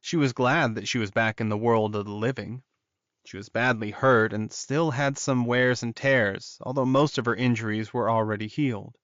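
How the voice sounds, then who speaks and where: A man's voice: mid-range voice, fairly even intonation, normal speed, fairly bright tone, dry recording. A man narrating scripted fiction as an audiobook reader, in a slightly muffled recording.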